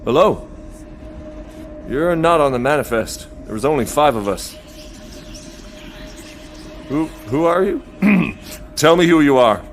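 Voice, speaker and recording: A man's voice: a little high, animated, slow, vocal fry, pauses often, soft background music, exaggerated voice. An actor playing a flustered, dryly witty detective who stammers and narrates his own case in an audio drama. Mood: alarmed. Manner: stammering, wry, wordy. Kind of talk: full-cast audio drama with narrated monologue.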